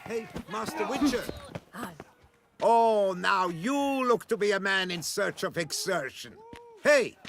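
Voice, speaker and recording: An actor playing a brash, goading fight promoter in a fantasy video game, speaking theatrically. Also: male voice, high voice, animated, takes it slowly, creaky, a bit bright, full character voice.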